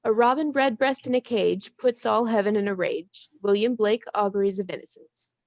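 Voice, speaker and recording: A woman's voice: medium pitch, normal speed, darkish tone, no echo, natural voice. A woman playing a breezy young bookstore clerk in a table read, with an offhand, quirky manner. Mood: absorbed, chirpy. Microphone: video call. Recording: dull, dry video-call audio.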